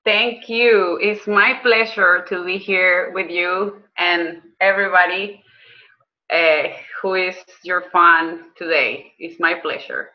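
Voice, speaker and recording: A woman's voice: lowish voice, steady tone, slow, highs cut off. A woman, a guest speaking earnestly and hesitantly, with frequent ums and restarts. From Venezuela. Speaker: guest, health coach and business owner. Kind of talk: conversational interview.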